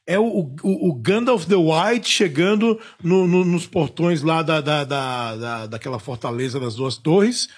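A man from Salvador, chatting on a podcast about films and ranting in a lively, slangy, profane way. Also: on the high side, measured pace, hardly any pauses, slightly rough. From Salvador, Bahia, Brazil. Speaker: film critic, podcast panelist. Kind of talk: casual podcast chat.

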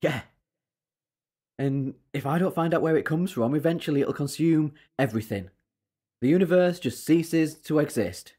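A woman playing a brisk, eccentric, exasperated time-travelling alien adventurer in a sci-fi audio drama, with a teasing, emphatic manner. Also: deep voice, dark tone. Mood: grave, urgent.

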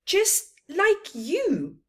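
Woman voicing a high, plaintive little camel calf. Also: huge pitch swings, drawn-out words, a bit bright, full character voice. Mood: pleading. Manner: plaintive, questioning. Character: puzzled, pleading little male camel calf.

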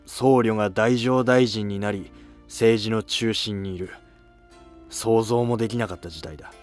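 Man playing a modest, slow-spoken retired aristocrat. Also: lowish voice, faint music behind, natural voice. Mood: reflective. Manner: calm, formal, ruminative. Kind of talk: animated historical drama acting.

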